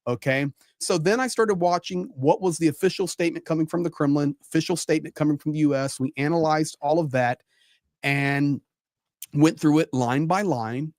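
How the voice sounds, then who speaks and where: A man's voice: on the high side, a little mellow, no echo. Man giving live commentary, close dry sound. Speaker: panel guest commentator.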